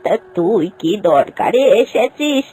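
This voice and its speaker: A woman's voice: medium pitch, sing-song, takes it slowly, warm tone. A woman playing a kindly, practical elderly village aunt in a moral-story cartoon, with a homely, advising manner. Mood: earnest advising.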